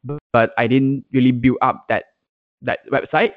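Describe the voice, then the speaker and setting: A man's voice: mid-range voice, steady tone, normal speed, dry recording. Podcast guest, male, Mandarin first language, conversational, phone-like narrow audio. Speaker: podcast guest, content manager and blogger. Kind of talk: casual interview answers. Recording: tinny, band-limited internet call audio.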